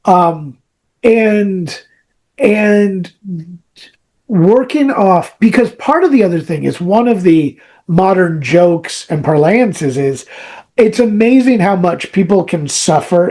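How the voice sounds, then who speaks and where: A podcast host in casual, analytical conversation, thinking aloud in halting phrases that often repeat. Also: medium pitch, animated, a little mellow.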